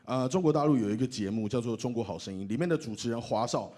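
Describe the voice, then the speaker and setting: A man's voice: medium pitch, fast, dark tone. A man with a Taiwanese accent presenting awards on stage, joking as he announces the nominees. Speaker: awards presenter and radio host.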